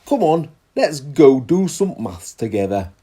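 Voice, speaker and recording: A man playing a calm, patient dad explaining things reassuringly in a children's story. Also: a little high, measured pace, breathy edge, heavily acted.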